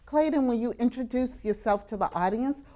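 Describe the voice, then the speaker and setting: A woman hosting a live educational television show, speaking deliberately with drawn-out emphasis on words. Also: a little high, mellow. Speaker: television show host and teacher. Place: live television taping at a community college.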